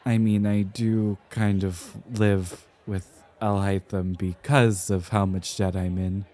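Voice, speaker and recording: A man playing an anxious, rambling young architect in an ASMR roleplay, with crisply enunciated, theatrical but hesitant speech. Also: low voice, little pitch movement, slow pace, warm tone. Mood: sheepish, grateful. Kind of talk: ASMR roleplay, speaking to the listener.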